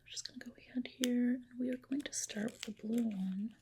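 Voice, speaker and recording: A woman whispering a soothing ASMR roleplay as a reassuring clinician, in a soft, breathy voice. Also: a little high, fairly even intonation, a little fast, a little hiss or hum.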